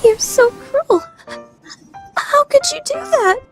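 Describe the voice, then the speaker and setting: A woman playing a proud, scheming, secretly lovestruck high school lady in a formal, theatrical anime radio play style. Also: expressive, takes it slowly, full character voice. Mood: fake tearful. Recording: background music under the voice.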